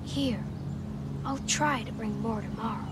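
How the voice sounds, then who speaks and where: A woman's voice: on the high side, a little slow, heavy background noise, close to an everyday voice. A woman voicing a young boy, earnest and protective, in a dramatic video game. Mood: gentle.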